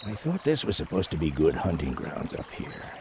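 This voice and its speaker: A man's voice: low-pitched, big pitch swings, moderate pace. A man playing an uneasy, jumpy deer hunter in a radio play, with a defensive way of talking. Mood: muttering, impatient. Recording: noisy sound-effect bed behind voice.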